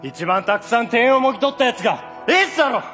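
A man's voice: very high-pitched, sing-song, quick pace, sharp tone, full character voice. An actor playing a cocky, hotheaded young male volleyball player, projecting as in a stage play. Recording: reverberant theatre hall, music, faint audience.

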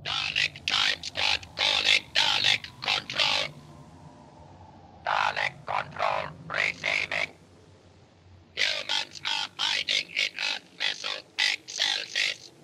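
Ruthless alien machine commander, very high robotic filtered voice, slow and deliberate, audio drama. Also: male voice, wildly swooping pitch, creaky, full character voice. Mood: cold, commanding. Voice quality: harsh, electronic, grating. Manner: staccato, barking orders. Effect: metallic ring-modulated robot filter. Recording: music behind an amateur 1980s audio drama.

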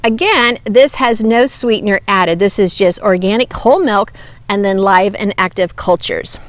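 A woman's voice: mid-range voice, moderate pace, dry recording. A woman in her fifties reviewing products to camera outdoors, explaining in an earnest, emphatic teaching manner on a lapel mic. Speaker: nutrition ministry host. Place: outdoors by woods.

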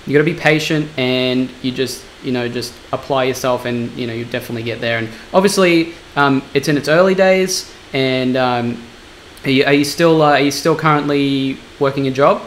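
A man's voice: medium pitch, moderate pace, low-quality recording. A young man in his twenties hosts a casual interview over a webcam from a small room at home. He talks in an encouraging, rambling way, with lots of "you know"s and repeated phrases. A faint hiss sits under his voice. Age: 20s. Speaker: interviewer and e-commerce coach. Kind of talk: casual video-call interview. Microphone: video call webcam.

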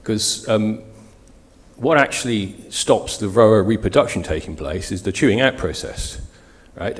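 A man co-presenting on a convention panel, explaining points steadily into a handheld mic in a lecture hall. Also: fairly low pitch.

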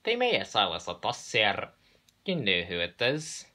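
A man's voice: lowish voice, huge pitch swings, a little slow, pushed and bright, exaggerated voice. A man voicing cheeky twin storybook engines with a Scottish accent and a solemn show of innocence. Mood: mock innocent.

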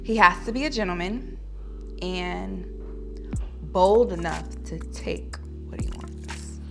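A woman's voice: on the low side, slow pace, creaky, soft background music. A woman playing a sassy bossy girlfriend. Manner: sassy, commanding. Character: bossy confident young girlfriend.

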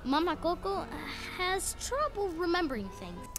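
An actor voicing an eager, chatty young Mexican boy who tells his story in an animated film. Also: very high voice, measured pace, full character voice. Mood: playful, chatty. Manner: earnest, lively storytelling. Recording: film clip with loud music bed.

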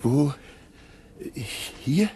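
A man's voice: mid-range voice, lively intonation, drawn-out words, dark tone, slight background noise. An actor playing a nervous, bewildered man in a radio drama, hesitant and stammering, often repeating his words. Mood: bewildered, anxious. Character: nervous, bewildered companion, unwilling visitor. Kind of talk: German radio drama acting.

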